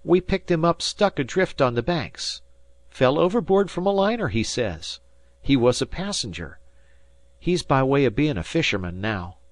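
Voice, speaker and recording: A man's voice: medium pitch, sing-song, normal speed, no echo, voice pushed up, close to an everyday voice. A man voicing an eager, cheeky fishing boy with breezy, colloquial speech in a storybook reading.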